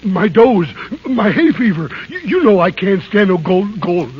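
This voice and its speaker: An actor playing an excitable, fretful old dwarf with a raspy, creaky voice, in 1930s radio drama style. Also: male voice, high voice, unhurried, crowd noise. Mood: pleading, panicky. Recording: muffled 1930s radio broadcast.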